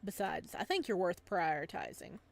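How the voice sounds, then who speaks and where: A gentle fisherman from a romance visual novel, as a woman reads him. He is composed and fond, with a calm, reassuring way of talking. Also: fairly low pitch, moderate pace, gravelly, pitched below the performer's own voice. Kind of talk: visual novel dialogue read aloud.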